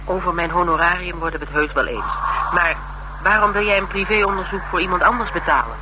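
A man acting a shrewd private investigator in a radio play, pitched fairly high. The lines are probing and teasing, with a sardonic, money-minded edge. There is clear noise on the recording. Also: fast, natural voice. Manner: probing short questions, sardonic banter. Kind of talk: Dutch radio drama dialogue. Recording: noisy radio drama recording.

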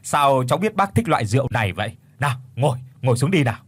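A man's voice: medium pitch, big pitch swings, fast, husky. Man doing a jovial middle-aged dad. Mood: genial. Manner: jovial, soothing. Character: genial middle-aged father, easygoing.

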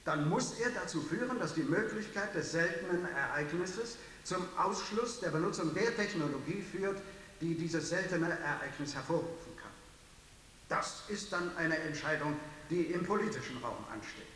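A man's voice: on the high side, animated, normal speed, fairly bright tone. A man speaking as a risk analyst in a public discussion, explaining in an emphatic, didactic way. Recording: very echoey hall, distant, faint hiss.

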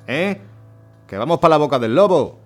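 A man's voice: a little high, lively intonation, moderate pace, faint music behind, natural voice. A man playing a blunt, grumbling, foul-mouthed truck driver in an audio drama. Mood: grumbling worry.

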